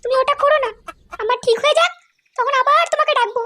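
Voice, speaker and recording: Squeaky, very high flirty cartoon woman. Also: fast, pushed and bright. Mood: coy, apologetic. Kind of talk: exaggerated comedy cartoon voice-over.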